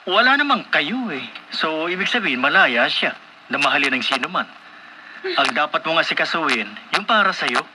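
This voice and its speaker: An actor playing a frank, teasing cousin in a radio drama, casual in manner, with a Filipino accent. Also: male voice, fairly high pitch, sing-song, normal speed, bright, forceful tone, slight background noise, full character voice, rough recording. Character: teasing, frank male cousin of a rich woman. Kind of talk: Philippine radio drama acting.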